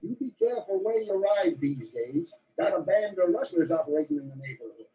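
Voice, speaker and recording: A man's voice: fairly high pitch, lively intonation, moderate pace, mellow, close to an everyday voice. An actor playing a protective, fatherly rancher uncle in an old western drama. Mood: cautioning. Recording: muffled old soundtrack with hiss.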